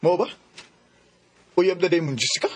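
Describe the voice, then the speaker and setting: An actor playing a brash, teasing young roommate in a Cebuano radio drama, with a husky voice and Filipino accent. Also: male voice, sits high, moderate pace. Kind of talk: Bisaya radio drama acting.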